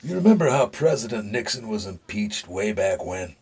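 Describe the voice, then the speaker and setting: An actor playing a dying retired Secret Service agent in an audio drama, older, gruff and folksy, weary and confiding, with dry humor. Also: male voice, fairly low pitch, very animated, moderate pace, darkish tone, full character voice. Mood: resigned, confiding.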